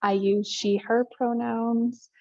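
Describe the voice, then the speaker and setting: A woman in her twenties co-presenting an online workshop, speaking in a deliberate, welcoming way as she asks the audience to introduce themselves. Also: a little high, flat delivery, dark tone, no echo, muffled recording. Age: 20s.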